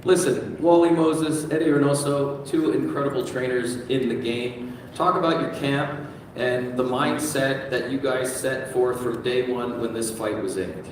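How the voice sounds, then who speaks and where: A man hosts a press conference in a large event room. His voice sits in an ordinary middle pitch and carries a long echo, as if picked up from across the hall. There is steady background murmur. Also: unhurried, noisy background. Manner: hyped announcer build-up at the close. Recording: echoey hall picked up from far off.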